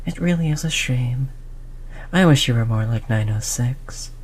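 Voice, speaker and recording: A performer of unclear sex playing a cold, condescending researcher who disciplines a captive test subject in a measured, mock-polite way, in an audio roleplay. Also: medium pitch, slightly rough, close to an everyday voice. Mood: patronizing, exasperated. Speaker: scientist running human experiments. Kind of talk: scripted audio roleplay drama.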